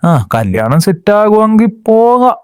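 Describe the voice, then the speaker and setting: A man's voice: sits high, very animated, measured pace, clear, resonant tone, no echo, studio quality. Man voicing a cocky, jobless young slacker. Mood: sulky. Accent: Kerala. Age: young adult. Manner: sulky, cocky comebacks.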